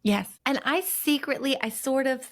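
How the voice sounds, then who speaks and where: A woman's voice: high voice, moderate pace. A woman co-hosting a casual podcast, talking in a bubbly, rambling way and laughing often. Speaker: podcast co-host.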